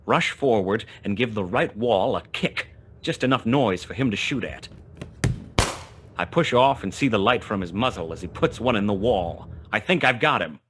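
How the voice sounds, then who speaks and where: An actor playing a world-weary, wry, tough private detective who narrates a 1920s hard-boiled radio play in a clipped, dramatic manner. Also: male voice, lowish voice, background noise, natural voice.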